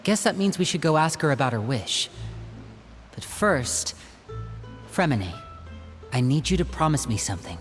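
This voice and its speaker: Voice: medium pitch, sing-song, normal speed, long pauses, soft background music, lightly acted. A voice actor playing a charming young stage magician who talks in a playful, theatrical way. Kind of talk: game voice acting.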